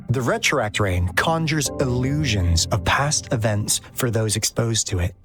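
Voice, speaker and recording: An actor voicing a laid-back, wry male explorer hunting treasure in a game cutscene. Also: medium pitch. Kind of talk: video game cutscene acting. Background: music.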